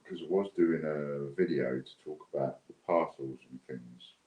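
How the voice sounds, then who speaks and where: A man's voice: a bass voice, a little slow. A British man hosting a giveaway livestream in casual, unscripted, chatty and rambling talk. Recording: dull lo-fi, roomy echo, clear background noise.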